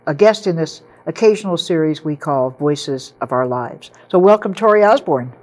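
A woman's voice: deep voice, animated, normal speed, darkish tone. Teasing woman interview host. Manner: friendly, teasing, laughs easily. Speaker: talk show host. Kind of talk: hosted interview. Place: TV studio set.

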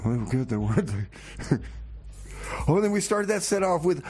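A man's voice: fairly high pitch, sing-song, normal speed, noisy background. A man hosting a casual comedic radio show in a folksy, rambling way, often repeating himself. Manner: folksy, rambling, hesitant repetitions.

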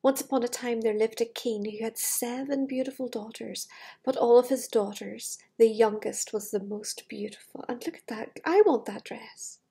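A middle-aged woman with a breathy voice, reading a fairytale to children with gushing, chatty asides in a living room. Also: a little high, fairly even intonation, moderate pace, dark tone. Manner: gushing, wistful enthusiasm for the book. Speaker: children's storyteller. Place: living room with large glass doors. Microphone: device camera at arm's length.

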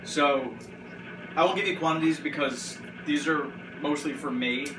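A man hosts a casual cooking segment in a home kitchen, joking and rambling as he goes. His voice sits a little high, caught by a far-off camera in an echoey room with a noisy background. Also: a little slow. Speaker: host. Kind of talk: casual cooking show banter. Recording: roomy, distant, noisy kitchen audio.

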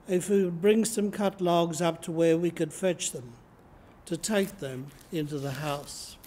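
An older man in his seventies with an Australian accent, preaching a sermon from the lectern of a church and reading slowly and deliberately from his notes. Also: fairly high pitch. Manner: slow, measured reading from notes. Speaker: priest. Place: church sanctuary, indoors. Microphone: lectern microphone, camera in front.

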